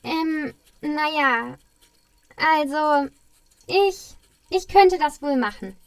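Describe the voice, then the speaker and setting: A woman's voice: sits high, expressive, very slow, dry recording, exaggerated voice, low-quality recording. A woman playing an earnest, brave male Christmas elf in a children's audio play. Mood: hesitant.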